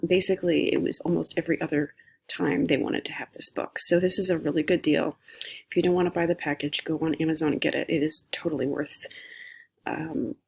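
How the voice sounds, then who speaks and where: Casting: woman, husky with vocal fry, chatty homeschool-mom reviewer, unscripted, thinking aloud as she goes. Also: fairly low pitch, a little mellow. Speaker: homeschooling mother reviewing curriculum. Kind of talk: casual unscripted screencast review. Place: indoors, recording a screencast at a computer.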